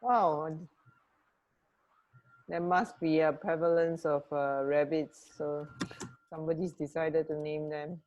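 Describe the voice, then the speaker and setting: Female radio co-host, voice a little on the low side, informal chat, reflective and reactive in short remarks. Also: fairly even intonation, slow, long pauses, a little mellow, lo-fi sound, slight background noise. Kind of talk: casual chat, trading fun facts.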